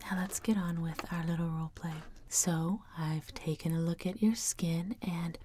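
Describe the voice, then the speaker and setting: A woman in her thirties doing ASMR in a slow, soothing whisper, close to the mic. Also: on the low side, fairly even intonation, very noisy. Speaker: ASMR video creator. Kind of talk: ASMR whispering, gentle makeup roleplay.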